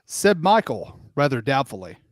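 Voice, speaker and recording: A man's voice: medium pitch, sing-song, normal speed, no echo, studio quality. A man narrating a storybook as part of an online group reading.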